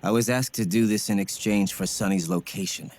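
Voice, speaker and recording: An actor playing a grim, detached warrior in a video game, speaking huskily in clipped, solemn phrases. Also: male voice, fairly quick, a little mellow, lightly acted. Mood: detached, businesslike. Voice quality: husky, low. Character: grim, detached male warrior on a secret mission. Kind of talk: cinematic video game acting.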